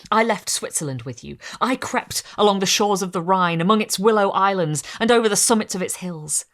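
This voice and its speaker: A woman voicing a wretched, vengeful male creature who speaks in a low, smothered, threatening way. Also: natural voice. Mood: bitter, reproachful.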